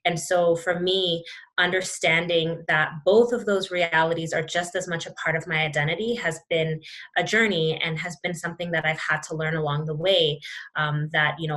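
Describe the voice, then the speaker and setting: A young woman, speaking as an interview guest in a thoughtful, reflective way with many pauses and restarts. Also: lowish voice, fairly bright tone. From Canada. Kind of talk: reflective conversation.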